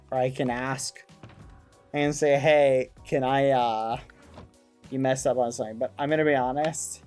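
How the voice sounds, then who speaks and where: A man's voice: medium pitch, darkish tone. A man live streaming, chatting casually with his viewers in a rambling, playful, mock-indignant way. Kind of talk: casual chat with stream viewers while gaming.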